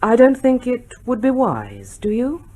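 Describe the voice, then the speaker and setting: A sensible, guarded woman in government. Her husky voice is reserved and firm, correcting others with a wide-ranging intonation. It is radio drama acting. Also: fairly high pitch, wildly swooping pitch, unhurried, dark tone, exaggerated voice. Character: guarded, sensible female British government official.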